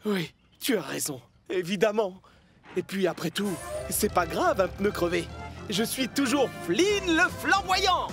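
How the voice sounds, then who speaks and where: A man's voice: sits high, very animated, measured pace, over music, exaggerated voice. A man voicing an eager, boastful but easily discouraged fire engine in a French cartoon dub, with a theatrical delivery. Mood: reassured. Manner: theatrical, swinging between bragging and sulking.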